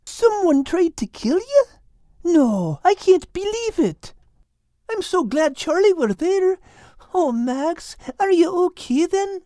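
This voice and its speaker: A woman's voice: very high voice, very animated, slow pace, dark tone, dry recording, clean studio sound, exaggerated voice. A woman voicing an affectionate, chatty female animal in an audiobook story, folksy and gushing. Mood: alarmed concern. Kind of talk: animal story character acting.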